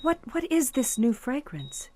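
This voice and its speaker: A woman's voice: sits high, big pitch swings, unhurried, mellow, a little hiss or hum. A woman playing a fawning, smugly catty favorite daughter in an audio suspense drama, gushing and melodramatic. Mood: awed, gushing.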